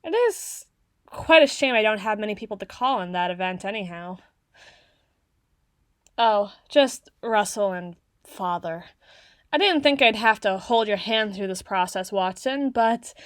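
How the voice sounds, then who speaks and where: A woman's voice: fairly high pitch, no echo, studio quality. A woman playing a flustered, haughty teenage tsundere girl in an ASMR roleplay, bookish and socially awkward, with formal, wordy diction. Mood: awkward, self-conscious.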